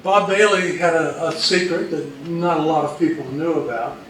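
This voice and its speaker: Higher-voiced man lecturing from across an echoey room. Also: normal speed, fairly bright tone. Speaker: co-presenter of a talk on old-time radio history. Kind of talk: informal historical presentation. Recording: echoey room from far off, faint noise.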